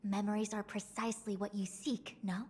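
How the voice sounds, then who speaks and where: A woman's voice: medium pitch, flat delivery, moderate pace, darkish tone, long reverb tail, a little hiss or hum, close to an everyday voice. A woman voicing a composed, erudite diviner in a video game, speaking formally and analytically. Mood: probing.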